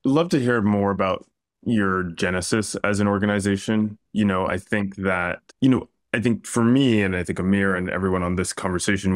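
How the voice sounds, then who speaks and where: A man hosts a podcast interview with a very deep voice. He asks questions in a thoughtful, curious way, hedging with "I think," and he sounds close and dry. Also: dry recording. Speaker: podcast host.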